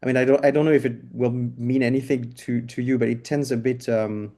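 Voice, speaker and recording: A man with a French accent speaks in English in a casual interview. His voice is mellow and relaxed, of middle pitch. He explains things haltingly, restarting sentences often. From France. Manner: hesitant, many restarts and fillers while explaining. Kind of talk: casual conversational interview answers.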